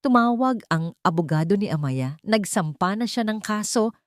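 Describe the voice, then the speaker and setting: A woman's voice: mid-range voice, lively intonation, moderate pace, no room sound, voice pushed up, natural voice. A meek, cowardly grown son and young father voiced by a woman narrator in a dramatic audio story. He sounds pleading and hesitant, recorded dry and close. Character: timid, cowardly adult son and guilt-ridden young father.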